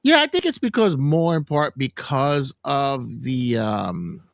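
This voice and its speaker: A man hosting a casual wrestling news podcast, talking in an animated, joking way. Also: medium pitch, takes it slowly, gravelly, dry recording, studio quality. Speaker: podcast host.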